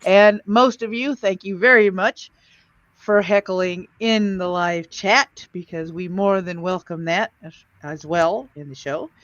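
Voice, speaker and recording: A man's voice: high-pitched, unhurried, fairly bright tone, no echo, lo-fi sound. A man hosting a podcast in a casual, chatty, wisecracking style. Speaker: podcast host. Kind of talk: casual heckling and chat.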